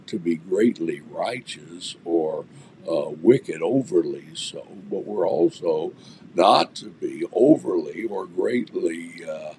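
An older man with a hoarse, raspy voice that sits very low and turns gravelly. He teaches scripture and prays in an earnest way, often stumbling and restarting. He is recorded on a desk webcam in a home office, with faint noise behind him. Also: warm tone. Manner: earnest, with many restarts and fillers, gesturing while talking. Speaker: pastor. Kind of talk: online Bible teaching and prayer.

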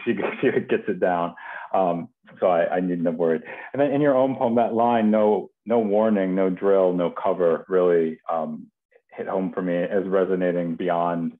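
A man in his thirties casually hosting a poetry reading over a video call, halting and repeating words. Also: sits low, phone-quality sound. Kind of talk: casual introduction of readers. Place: home kitchen, indoors. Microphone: video call, computer mic.

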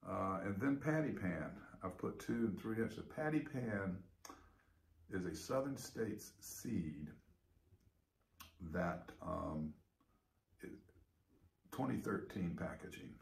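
A man's voice: deep voice, lively intonation, slow, creaky, pauses often. A middle-aged man chatting casually and rambling with lots of fillers as he gives a garden update. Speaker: gardening vlogger. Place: indoors by a big window with seedlings; yard walk outdoors. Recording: roomy echo, faint noise.

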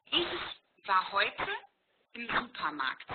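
Slow, patient German teacher on a call. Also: female voice, mid-range voice, sing-song. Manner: slow, clear teacher talk, repeating phrases. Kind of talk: online language lesson. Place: airport, indoors. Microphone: laptop on video call. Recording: tinny video call line with noise.

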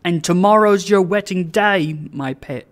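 A narrator voicing a doting, excitable old working-class father in an audiobook, exclaiming and repeating himself exuberantly. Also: mid-range voice, slow, natural voice. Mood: overjoyed, tender.

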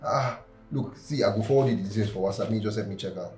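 An actor with a Nigerian accent playing a loyal, composed assistant and friend in a screen drama. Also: male voice, lowish voice, fast, darkish tone, soft background music, natural voice. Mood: worried, urgent.

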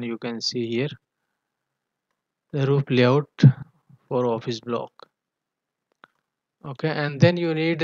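A man giving a technical tutorial in a halting manner, often punctuating his explanations with a checking "okay". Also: mid-range voice, darkish tone, no echo. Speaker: engineering tutor. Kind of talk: tutorial walkthrough of technical drawings. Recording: dull, muffled lo-fi voice track.

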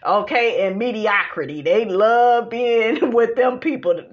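A woman with an American accent hosting a gossip podcast, talking casually in a sassy, slangy way with animated asides, on muffled lo-fi audio. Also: fairly high pitch, unhurried. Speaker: podcast host. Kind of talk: casual gossip commentary, reading posts aloud.

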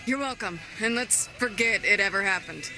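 A woman's voice: medium pitch, normal speed, close to an everyday voice, low-quality recording. Woman playing a tough sarcastic gang boss. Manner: wry, blunt, profane. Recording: loud music behind dialogue.

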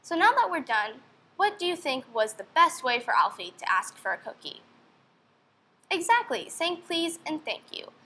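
A woman's voice: sits high, very animated, moderate pace, pushed and bright. A young woman reading a storybook aloud to children, expressively and with questions to her listeners. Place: indoor room.

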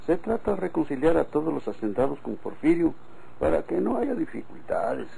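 Creaky, easygoing Mexican husband. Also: male voice, a little high, sing-song, normal speed, warm tone, heavily acted, rough recording. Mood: complacent, reassuring. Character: easygoing, trusting, sleepy country husband. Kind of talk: old Mexican radio drama acting. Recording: old radio recording, faint noise.

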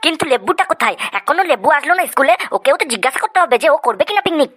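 Woman as keen, talkative cartoon pupil with a high, bright voice, full of questions. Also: very animated, fast talker. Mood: eager. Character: eager, talkative schoolkid in a cartoon. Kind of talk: comedy cartoon dubbing.

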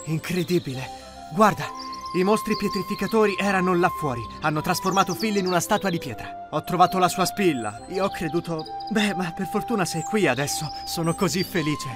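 A man's voice: sits high, fairly quick. A man voicing a friendly young male hero in a cartoon, talking eagerly. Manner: eager, lively. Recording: music behind voice.

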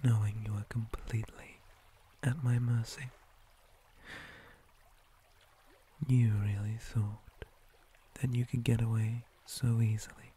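A man voicing a possessive, menacing spider monster captor in an ASMR roleplay, mostly in a breathy whisper with deliberate, theatrical menace. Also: mid-range voice, level tone, mellow, a little hiss or hum, close to an everyday voice. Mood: predatory.

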